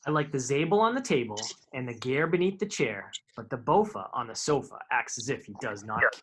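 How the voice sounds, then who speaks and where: A man's voice: medium pitch, very animated, brisk, a bit bright, husky. A man reading children's picture books aloud in a live storytime, with informal asides to his young listeners.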